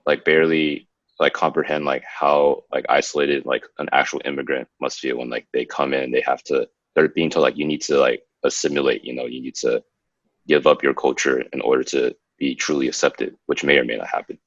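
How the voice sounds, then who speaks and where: A man's voice: very deep voice, steady tone, brisk, no echo, dull audio. A young man from the United States, a podcast co-host, talking casually and ramblingly with many fillers. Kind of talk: casual conversation.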